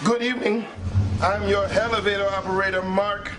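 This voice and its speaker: A man's voice: high-pitched, fairly even intonation, background noise, natural voice. A man playing a courtly, ominous haunted-ride elevator operator in a comedy sketch. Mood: ominous, teasing. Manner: theatrical, ominous, deliberately drawn-out delivery.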